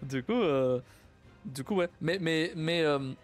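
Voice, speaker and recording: Halting, slightly high-voiced young man streaming. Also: soft background music. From Portuguese family. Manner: halting, many repeats and restarts, laughs often. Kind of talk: casual live-stream chat.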